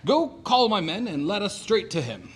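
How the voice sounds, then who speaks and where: Imperious, contrary husband, acted by a man, high and animated, declaiming in Shakespearean radio comedy. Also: normal speed, husky, exaggerated voice. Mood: grandly cheerful. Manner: declamatory, commanding. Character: domineering, capricious husband, grandly contrary. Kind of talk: Shakespearean comedy audio play acting. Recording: noticeable background noise.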